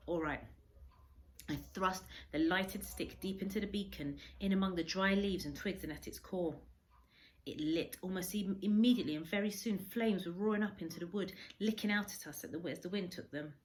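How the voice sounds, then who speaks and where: A woman reading a story aloud as a teacher, in expressive storybook narration. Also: medium pitch, fairly quick, rough recording.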